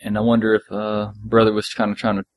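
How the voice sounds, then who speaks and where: Male podcast co-host, fairly low voice, casual chat, very dry sound. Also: completely flat delivery, fast, no room sound. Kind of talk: casual discussion of an audio drama.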